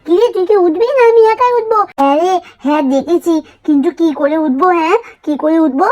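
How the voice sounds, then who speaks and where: A very high, childlike voice plays a playful little village girl in a doll-story cartoon. She pleads insistently, repeating her phrases like an eager younger sister. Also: fast talker, darkish tone, muffled recording. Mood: excited, eager.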